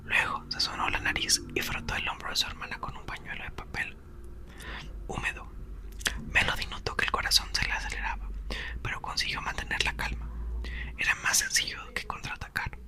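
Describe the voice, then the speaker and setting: A man softly whispering a novel aloud in an ASMR reading, close to the mic. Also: brisk. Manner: soft whisper, occasional self-corrections. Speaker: ASMR reader.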